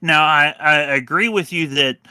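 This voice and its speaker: Very bright crisp voice guy, lots of restarts, arguing his point. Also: a little high, no room sound. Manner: halting, restarts a lot while pushing back. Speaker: panelist. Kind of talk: heated panel discussion on a live stream.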